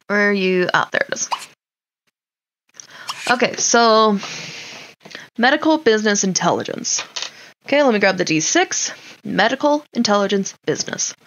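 Animated woman doing casual game commentary. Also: medium pitch, moderate pace, pauses often, muffled recording, a little hiss or hum. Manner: talks to the game characters, muttering to self; casually sweary. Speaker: gaming video host. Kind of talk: casual let's play commentary.

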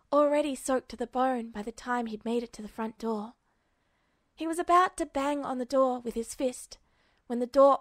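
A woman's voice: sits high, no echo, clean studio sound. A woman reading a story aloud as a scripted fiction narrator. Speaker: audiobook narrator.

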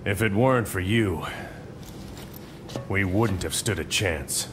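An actor playing a friendly, gruff military squad soldier in a video game cutscene. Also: male voice, slow pace. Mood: earnest, curious. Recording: loud aircraft rumble behind.

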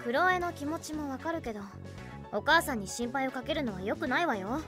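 A woman's voice: high voice, animated, a little fast. A woman playing a composed, jealous teenage girl in an anime audio drama, speaking in a stern, ladylike way. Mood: calm. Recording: music behind the voice.

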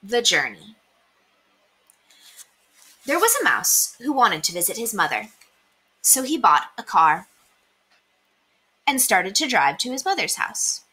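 A young woman reading children's stories aloud as an expressive storyteller. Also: mid-range voice, takes it slowly, pauses often, husky. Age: young adult. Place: small indoor room.

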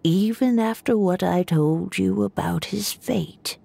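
A woman voicing a wise, grave seer of fates in a video game, speaking in a measured, solemn hush. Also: mid-range voice, gravelly, slight background noise. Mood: solemn, knowing.

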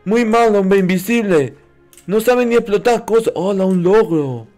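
A man's voice: high voice, a little slow, a little mellow, faint music behind. A man doing playful roleplay commentary over a video game, talking in a childlike, naive, exclamatory way. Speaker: gaming video host.

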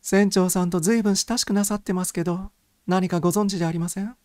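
Man voicing a high-pitched young society lady. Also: fairly even intonation, fast talker, darkish tone, no room sound, studio quality, natural voice. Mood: coaxing. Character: young society lady, beautiful, impatient and coquettish. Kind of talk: audiobook character dialogue.

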